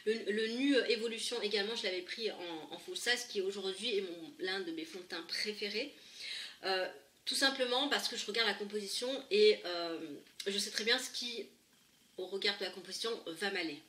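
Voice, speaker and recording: Woman with a cold, bright voice. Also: medium pitch, normal speed. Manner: hesitant, many fillers and restarts. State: congested with a head cold. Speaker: beauty vlogger. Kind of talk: casual makeup tutorial. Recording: echoey room, camera a bit far.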